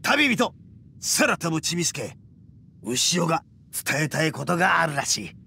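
A man voicing a brash, hot-blooded, good-hearted oni gang boss in an anime game, with loud, swaggering, rough speech. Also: a little high, very animated, moderate pace, a little hiss or hum, full character voice. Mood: thoughtful, then announcing. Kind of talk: Japanese anime game dub acting. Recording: music under the voice.

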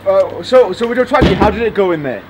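A man's voice: sits high, expressive, fast, background noise. A man playing an earnest TV reporter doorstepping someone in a mock news interview. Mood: earnest, hesitant. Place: outdoors on a street. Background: music.

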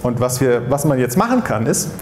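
A man's voice: mid-range voice, sing-song, fairly quick. A man in his forties gives a popular science lecture off the cuff as a scientist, often repeating and rephrasing his words, on a headset mic on a stage. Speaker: astrophysicist giving a public lecture. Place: stage of a dark theatre with seated audience. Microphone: headset microphone. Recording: roomy stage sound with background noise.

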